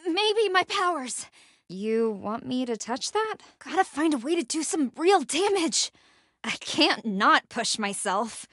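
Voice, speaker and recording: A woman voicing a frightened but defiant, sarcastic young American heroine in a horror video game, with a breathy, intense delivery. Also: high-pitched, big pitch swings, unhurried, no room sound, studio quality, heavily acted. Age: young adult. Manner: intense, sardonic, swinging between whispers and yells. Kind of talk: video game voice lines, dramatic acting.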